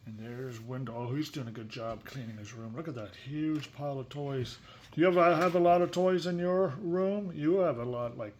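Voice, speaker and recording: A man's voice: medium pitch, slight background noise. A man reading a picture book aloud expressively, with casual, chatty asides and questions to a young listener. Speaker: story reader.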